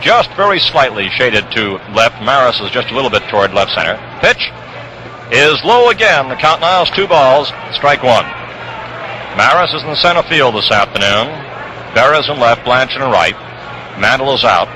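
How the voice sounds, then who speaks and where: A man calling baseball play-by-play for 1960s radio in measured, descriptive phrases. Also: medium pitch, lively intonation. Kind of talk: 1960s radio sports commentary. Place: outdoor ballpark broadcast position. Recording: noisy vintage radio broadcast, ballpark outdoors.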